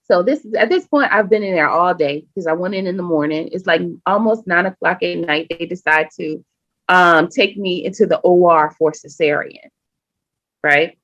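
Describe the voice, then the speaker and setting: A woman guest on a podcast, telling a personal story in an animated, emphatic way and often acting out what people said. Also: on the low side, moderate pace, no echo. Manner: animated, emphatic, acts out quoted speech. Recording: dull lo-fi sound.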